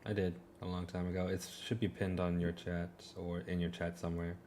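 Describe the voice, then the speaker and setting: A man's voice: sits low, level tone, brisk, darkish tone. A man bantering casually during a tabletop role-playing game. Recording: faint background noise.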